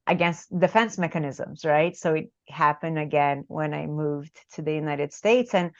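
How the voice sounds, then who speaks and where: Brazilian-accented woman, therapist guest, lowish voice, dull dry call audio; reflective, conversational. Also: moderate pace, dry recording. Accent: Brazilian Portuguese. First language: Portuguese. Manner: reflective, tag questions like "right?". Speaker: marriage and family therapist, guest author. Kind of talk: casual interview talk.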